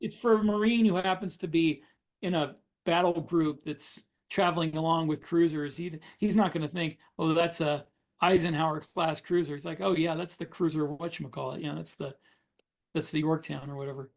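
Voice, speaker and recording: A man's voice: on the high side, fairly even intonation, moderate pace, vocal fry. A man co-hosting a podcast, chatting casually and explaining his writing in a matter-of-fact way with examples. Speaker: podcast co-host, science fiction author. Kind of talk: casual chat about writing craft.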